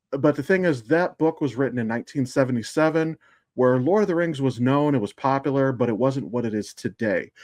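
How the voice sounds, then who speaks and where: A man's voice: mid-range voice, a little mellow, no room sound. A man hosting a casual podcast, giving his opinions bluntly and speaking straight to the listeners. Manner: blunt, sweary, addresses listeners directly. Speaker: podcast host.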